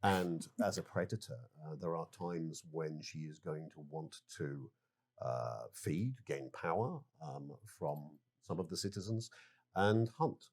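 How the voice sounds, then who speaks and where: A man explaining game design in an interview, speaking in deliberate, broken phrases with frequent hesitations. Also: a bass voice, animated, darkish tone, breathy edge. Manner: halting, deliberate, measured phrases. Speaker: game developer being interviewed.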